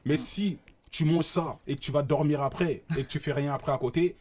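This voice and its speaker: A young man from Congo with a Congolese accent, a fitness coach chatting casually as an interview guest, lively and laughing as he talks. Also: fairly high pitch, a little fast. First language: Lingala or Congolese language at home. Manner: animated, laughing, loud bursts.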